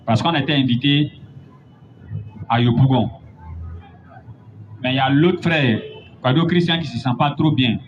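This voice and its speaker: A man preaching a sermon, building to emphatic, drawn-out points. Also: mid-range voice. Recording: dull lo-fi recording with faint noise.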